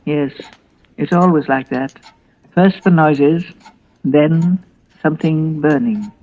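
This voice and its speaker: A woman's voice: low voice, slow pace, warm tone. Anxious older woman on muffled vintage radio. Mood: grave, resigned. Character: anxious, weary grandmother determined to flee her haunted house. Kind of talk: old-time radio suspense acting.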